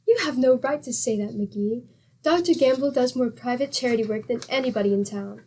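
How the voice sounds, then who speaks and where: An actress playing a patient, good-humored wife with a teasing, exclamatory manner in a 1940s-style radio comedy. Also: female voice, a little high, fairly quick, mellow, slight background noise, lightly acted.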